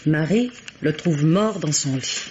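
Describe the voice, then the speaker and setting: An actress playing a domineering, imperious aunt in an old French radio mystery, curt and commanding. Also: female voice, deep voice, lively intonation, takes it slowly, a little mellow. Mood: stern, commanding. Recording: dull vintage radio sound, clear hiss.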